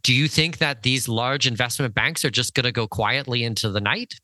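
Bright-voiced man hosting a podcast. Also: mid-range voice, brisk, no room sound, clean studio sound. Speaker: podcast host and financial consultant. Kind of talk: conversational podcast interview.

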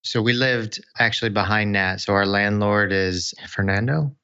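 Interview host with a crisp, bright voice, friendly and chatty, heard on lo-fi call audio. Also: male voice, fairly low pitch, moderate pace. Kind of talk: casual interview.

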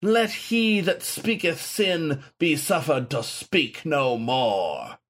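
A man's voice: a little high, expressive, slow, a bit bright, voice pushed up, heavily acted. A man voicing the sneering ghost of a zealous wolfhound saint, declaiming in a pompous, archaic manner.

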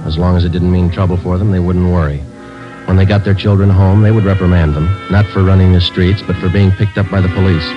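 A stoic American police sergeant, played by a man in a vintage radio drama. His voice is very low, and he delivers everything in terse, matter-of-fact statements. The old recording is dull, with music underneath. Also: little pitch movement, quick pace, close to an everyday voice. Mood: flat, matter-of-fact. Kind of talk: 1940s radio acting, clipped case narration.

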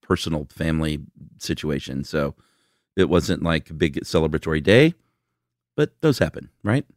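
A man's voice: very deep voice, lively intonation, moderate pace, dry recording, studio quality. A tired man co-hosting a podcast in casual chat, laid-back and asking his partner questions. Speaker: podcast co-host.

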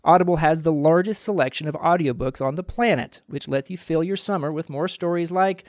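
A man's voice: a little high, brisk, darkish tone, no room sound, clean studio sound. A man hosting a podcast, chatting in an easygoing, teasing way and reading an ad. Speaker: podcast host. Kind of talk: casual chat and ad read.

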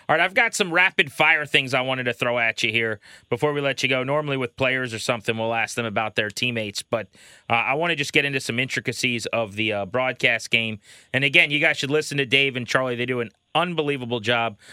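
Very bright, forceful male sports host. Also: medium pitch, smooth, no echo, clean studio sound. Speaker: baseball show host, interviewer. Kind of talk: casual sports talk interview.

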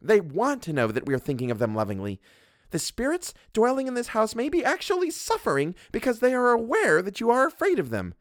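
A man's voice: high voice, wildly swooping pitch, a little fast, dry recording, clean studio sound, pitched above the performer's own voice. A man reading a story and voicing an imperious, fussy middle-aged woman who scolds and condescends. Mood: haughty scolding.

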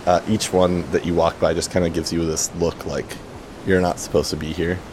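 Male game master, low register, loose improvised storytelling, noticeable rumble in the background. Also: normal speed. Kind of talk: improvised tabletop game narration. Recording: clear steady rumble behind voice.

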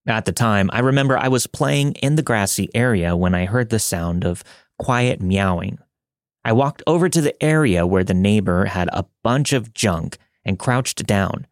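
A man's voice: lowish voice, no echo, studio quality. A man hosting a podcast, reading scripted material as a storyteller and narrator. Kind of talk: scripted first-person story narration and host-read promo.

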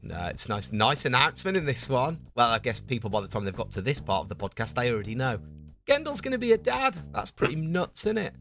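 Man, jokey podcast co-host, mid-pitched voice, faint music underneath. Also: big pitch swings, fast, a bit bright. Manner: chatty, jokey, teasing. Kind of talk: casual podcast chat.

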